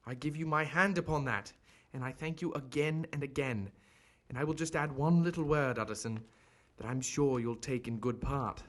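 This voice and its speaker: A man acting in an audio drama as a genial but guarded Victorian gentleman doctor, speaking in formal, courteous, earnest phrases. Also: mid-range voice, moderate pace, natural voice. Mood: grateful, reassuring.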